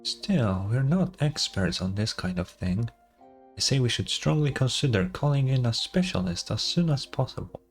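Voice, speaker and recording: A man's voice: medium pitch, expressive, normal speed, husky. A man livestreaming a video game, commenting casually and reading story text aloud. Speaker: game streamer. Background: game music.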